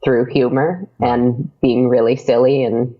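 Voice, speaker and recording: A woman's voice: a bass voice, fairly even intonation, a little slow, dull audio, a crowd in the background. A woman guest in a casual podcast interview, chatty, with many fillers and restarts.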